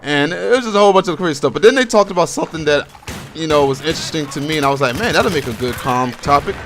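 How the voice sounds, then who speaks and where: A man's voice: a little high, lively intonation, fast, fairly bright tone, soft background music. An American man from Baltimore giving casual, rambling game commentary in an informal, slangy and opinionated way. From Baltimore, USA. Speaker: gaming commentator. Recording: home voice-over with clear background noise, gunfire. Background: video game gunfire and explosions.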